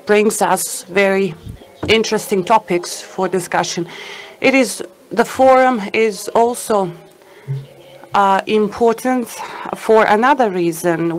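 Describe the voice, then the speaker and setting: A woman's voice: medium pitch, poor audio. A woman giving live simultaneous interpretation of a conference talk, hesitant with fillers and an uneven pace.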